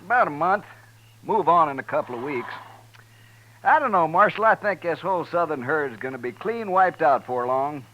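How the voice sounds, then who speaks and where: An American actor playing a talkative, hospitable buffalo hunter in a 1950s radio western, with folksy, drawling rustic speech. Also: male voice, a little high, expressive, moderate pace, close to an everyday voice. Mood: folksy, chatty. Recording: dull, band-limited 1950s radio recording.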